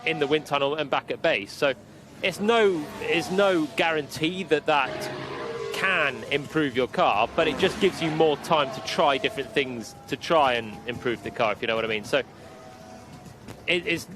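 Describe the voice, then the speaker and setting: Male motorsport pundit, conversational, a touch high in pitch, clear music and car sound underneath. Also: moderate pace. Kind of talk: conversational live commentary analysis.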